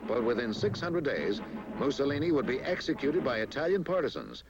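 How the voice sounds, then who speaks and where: A man's voice: mid-range voice, sing-song, a little fast, music in the background, rough recording. A man reading scripted historical narration for a war documentary.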